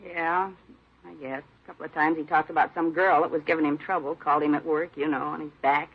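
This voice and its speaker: A muffled old radio drama. a scared woman on the defensive, her voice husky and creaky, giving her account in plain, halting phrases. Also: sits low, steady tone. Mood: subdued, reluctant. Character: frightened, defensive woman questioned about her lover's killing. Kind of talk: 1950s radio drama acting.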